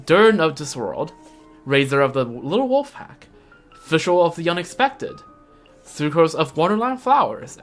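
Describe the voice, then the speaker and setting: A man's voice: a little high, fairly bright tone. Young man rambling over game music. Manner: rambling, thinking aloud. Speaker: game streamer. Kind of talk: casual live game stream commentary.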